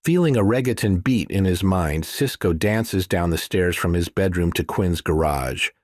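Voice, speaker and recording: A man's voice: low voice, animated, normal speed, dry recording, studio quality. A man reading scripted thriller narration as an audiobook narrator.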